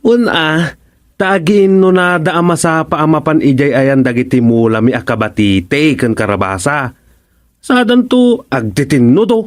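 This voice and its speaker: A man's voice: a little high, expressive, measured pace. An actor playing a grumbling, indignant village man in a radio comedy drama.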